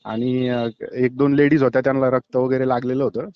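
A man's voice: medium pitch, little pitch movement, fairly quick, smooth. A man with a Marathi accent giving an eyewitness account over the phone in a hesitant, conversational way. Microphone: phone call. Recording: dull lo-fi phone call.

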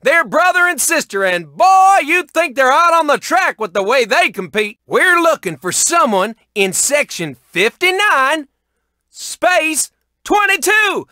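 An excitable cartoon race announcer for a video game. The voice is very high and piercingly bright, swinging widely in pitch. The delivery is folksy, showy sports commentary. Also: male voice, a little slow, dry recording, studio quality, full character voice. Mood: upbeat announcing.

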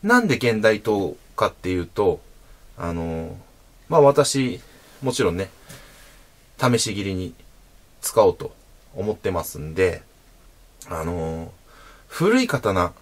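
A man in his thirties talks to the camera in a small indoor room, explaining things patiently and stopping often on fillers. His voice sits low but rises and falls widely, hopping up in pitch to stress key words. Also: sing-song. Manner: explains patiently with many fillers and pauses, demonstrating with a sword. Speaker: sword channel host. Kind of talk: casual explanatory talk to camera.

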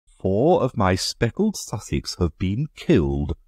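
A man voicing a blustering, indignant country gentleman in a reading of a story. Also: on the low side, wildly swooping pitch, slow pace, breathy edge, no echo, clean studio sound, voice pushed up, full character voice. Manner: blustering, emphatic.

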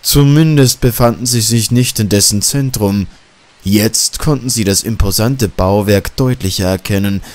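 A man's voice: lowish voice, moderate pace, a little mellow. A man narrating a scripted horror story as an audiobook.

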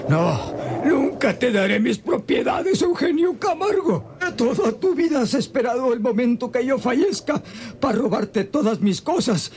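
Radionovela role: dying-sounding old Mexican patriarch, very high, wailing, melodramatic, delirious. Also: male voice, animated, unhurried, darkish tone, full character voice. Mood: defiant, furious. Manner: melodramatic, moaning, rambling. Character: delirious feverish old ranch patriarch, anguished, defiant father. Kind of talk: old Spanish-language radionovela acting. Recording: noisy old radio drama recording.

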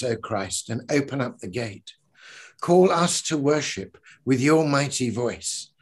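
An older poet with a husky, slightly breathy voice speaks over a video call from his living room. He talks earnestly with restarts and reads verse with rising emphasis. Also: a little high. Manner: earnest, with many restarts in talk and swelling emphasis when reading. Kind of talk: discussion and reading his poem aloud.

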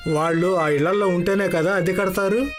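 Scheming village headman played by a man, higher-pitched. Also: fairly even intonation, brisk, music in the background, lightly acted. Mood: conspiratorial.

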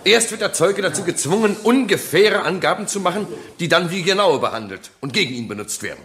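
An indignant state prosecutor in a courtroom radio drama. His voice sits fairly high and moves animatedly, formal yet combative and emphatic. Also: expressive, a little fast, a bit bright. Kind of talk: German crime radio drama acting.

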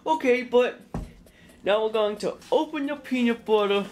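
A woman's voice: fairly high pitch, moderate pace, a little mellow, a little hiss or hum. A young woman casually narrating a plush puppet cooking skit at home, rambling and jokey, correcting herself as she goes. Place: home kitchen and dining room.

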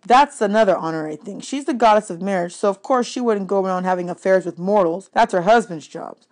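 A woman's voice: mid-range voice, fast, natural voice. Woman reading as a nervous young satyr. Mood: explaining. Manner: anxious, explanatory, downcast. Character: nervous, glum young male satyr sidekick. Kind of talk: children's fantasy audiobook character.

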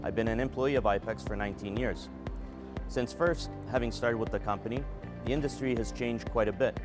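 A man in his forties, a product manager, giving a measured, earnest scripted product presentation at a trade show booth on a lapel mic. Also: mid-range voice. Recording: clean voice over background music.

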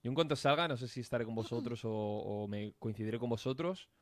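A man with a Spain Spanish accent, chatting casually with other players over voice chat. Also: medium pitch. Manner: quick, friendly, casual. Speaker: fellow player.

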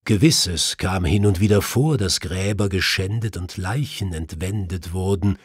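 A man's voice: deep voice, moderate pace, slightly rough, no room sound, clean studio sound. A man narrating a mystery audiobook in a dramatic, scripted storytelling style.

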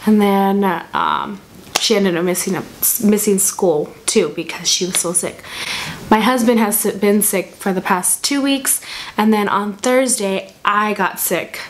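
Chatty young woman, hoarse from a cold. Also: medium pitch, unhurried, slight background noise. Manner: chatty, rambling, frequent ums and restarts. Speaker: vlogger. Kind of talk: casual chat to camera. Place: small room at home, indoors. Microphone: camera in front at desk distance.

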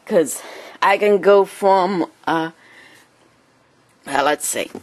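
A woman with a cold and a speech impediment, giving a casual home tour in halting, choppy phrases. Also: fairly low pitch. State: has a cold, clearing throat. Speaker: amateur video blogger and hobby writer. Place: small home computer room. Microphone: handheld camera held by the speaker.

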